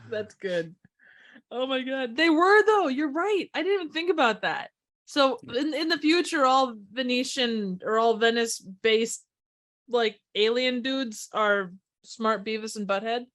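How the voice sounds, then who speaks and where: A woman co-hosting a podcast, chatting casually with frequent laughs and quick quips. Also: on the high side, expressive, a bit bright.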